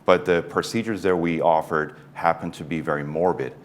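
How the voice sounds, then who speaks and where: Deep-voiced measured male surgeon. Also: steady tone. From South Korea. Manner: measured, thoughtful, with restarts and soft laughs. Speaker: neurosurgeon guest. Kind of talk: interview answers.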